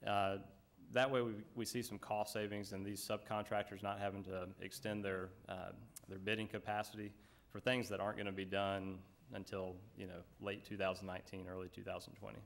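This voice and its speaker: A man giving a relaxed project update at a school board meeting, with many fillers. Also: on the low side, a little fast, gravelly. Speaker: construction project manager.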